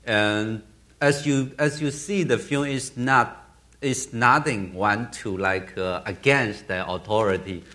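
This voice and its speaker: A man in his thirties with a Burmese accent, a film director answering audience questions on a theater stage, halting and often restarting as he searches for words. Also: on the low side, sharp tone. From Myanmar.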